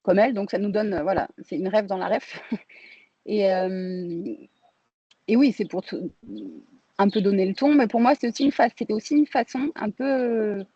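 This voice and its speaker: A woman from France answers as a film director in a relaxed, mellow voice. She reflects informally, rephrasing as she goes. The sound is dry and somewhat muffled. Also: medium pitch, moderate pace, slightly rough, no room sound. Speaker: film director being interviewed.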